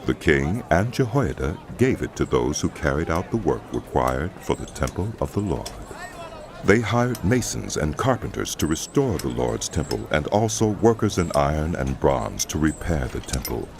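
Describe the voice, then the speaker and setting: A man narrating a dramatized audio Bible in a solemn, measured storytelling style. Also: extremely low pitch, big pitch swings, noisy background. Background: background music.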